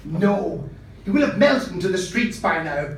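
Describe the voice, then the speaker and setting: A man's voice: sits high, sing-song, moderate pace. A man voicing a fussy, foreboding older costumier uncle in a story reading, with a hesitant, emphatic delivery. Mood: grave, foreboding. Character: fussy older male costumier, wary, gloomily prophetic uncle. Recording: echoey room, distant mic, faint noise.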